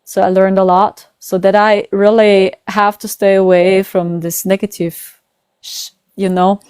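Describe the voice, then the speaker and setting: Woman, German accent, smooth clear voice, dry close sound, casual rambling chat, lots of "you know". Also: medium pitch, little pitch movement, a little slow, darkish tone, no room sound. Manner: rambling, repeats herself, frequent "you know". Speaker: psychic medium host. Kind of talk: casual conversation.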